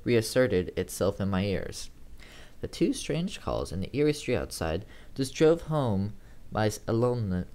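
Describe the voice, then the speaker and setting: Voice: mid-range voice, a little mellow. Amateur narrator reading a horror story. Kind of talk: scripted first-person horror narration.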